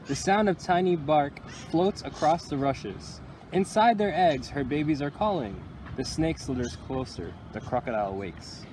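A young man reads a picture book aloud for little kids in a fairly high voice that moves up and down a lot. His phrasing is expressive and deliberate, like a storyteller. He is outdoors, with clear ambient noise around him. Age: young adult. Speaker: county environmental employee reading to children. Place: outdoors under a tree by water.

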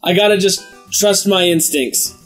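A young man hosting a casual game let's play, excitable, laughing often and swearing freely. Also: high voice, measured pace, soft background music. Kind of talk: casual gaming chat.

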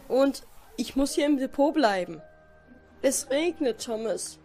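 A woman's voice: high-pitched, lively intonation, measured pace, a little mellow, soft background music. A woman voicing a reluctant, timid male steam engine in a cartoon dub. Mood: reluctant, glum.

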